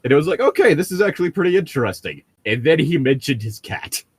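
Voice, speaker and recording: Male co-host, casual and sardonic, very animated pitch swings, exasperated storyteller. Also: fast, slightly rough, dry recording. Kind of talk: casual chat.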